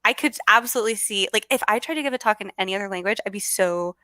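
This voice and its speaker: A woman's voice: medium pitch, fast talker, pushed and bright, no echo. A bubbly woman with a Californian American accent, chatting casually as a guest and often restarting her sentences. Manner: bubbly, many fillers and restarts. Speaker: guest speaker. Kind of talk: casual video call chat.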